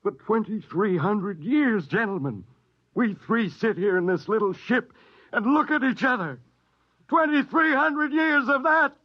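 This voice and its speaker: An actor playing a stern, strained spaceship captain, authoritative and dramatic, in the style of 1950s radio. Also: male voice, high-pitched, lively intonation, a little slow. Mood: bitter irony. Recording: dull old radio recording.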